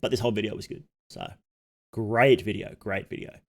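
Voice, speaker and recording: A man's voice: lowish voice, very animated, a little fast, creaky. A man giving casual livestream commentary, thinking aloud as he goes, musing and questioning himself. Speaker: streamer reacting to horror videos.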